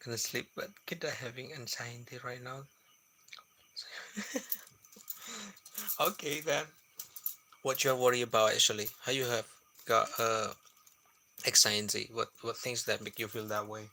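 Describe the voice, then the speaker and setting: A man chatting softly on a late-night livestream at home, often searching for his words. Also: medium pitch, steady tone, measured pace, pauses often, slight background noise. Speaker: live streamer. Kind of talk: casual late-night livestream chat with viewers.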